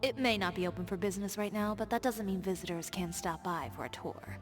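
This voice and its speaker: Woman doing a cool confident designer lady, even mid voice, measured, music behind. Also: mid-range voice, lightly acted. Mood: composed. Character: poised adult female fashion designer, shrewd and composed. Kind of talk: game dialogue. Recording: game audio with music behind.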